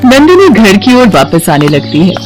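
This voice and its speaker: A woman's voice: medium pitch, big pitch swings, normal speed, soft background music. A woman narrating a scripted moral story as the storyteller.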